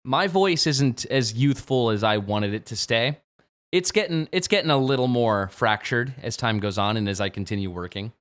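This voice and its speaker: Playful young man, lively pitch. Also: normal speed. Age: 20s. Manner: playful, jokey, swings from mumbling to sudden shouts. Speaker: game streamer. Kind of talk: late-night livestream chat.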